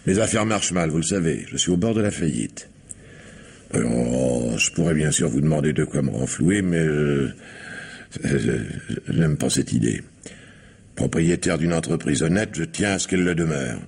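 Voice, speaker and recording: A man's voice: extremely low pitch, animated, normal speed, gravelly, darkish tone, slightly rough, full character voice. An actor playing a suave, domineering blackmailing businessman in a radio mystery, mock-courteous and imperious. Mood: suave, menacing. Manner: mocking mock-courteous, imperious when irritated. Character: sinister, suave, domineering blackmailing factory owner. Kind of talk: French radio mystery drama acting.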